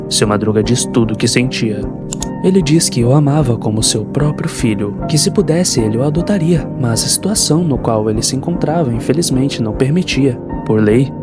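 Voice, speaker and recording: Male narrator, Brazilian accent, mid-range voice, scripted horror storytelling over prominent music. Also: medium pitch.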